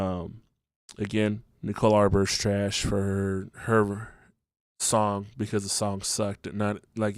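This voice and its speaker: A man's voice: on the low side, smooth, no echo, clean studio sound. A man hosting his own podcast alone, talking casually with lots of ums and restarts, a little stuffy from allergies. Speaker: podcast host. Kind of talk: solo podcast monologue. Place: home, just woke up.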